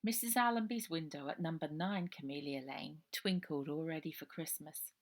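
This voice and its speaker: Female storyteller for middle-grade fiction, lowish pitch with a creaky edge. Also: moderate pace. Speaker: author reading own novel aloud. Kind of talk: children's story narration, unedited.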